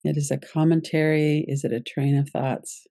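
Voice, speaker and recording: A woman guiding a yoga nidra meditation as its teacher, giving gentle, unhurried instructions with pauses. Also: low voice, little pitch movement, no room sound, clean studio sound.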